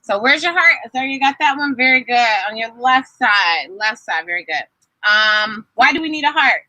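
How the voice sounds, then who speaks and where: A woman leads a children's story time with a very bright, fairly high voice. Her delivery swings widely in pitch as she teaches and encourages, often putting questions to young listeners. The sound is close and dry. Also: lively intonation, moderate pace, no room sound, rough recording. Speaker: storyteller reading to children and quizzing them. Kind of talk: animated children's story time read-aloud.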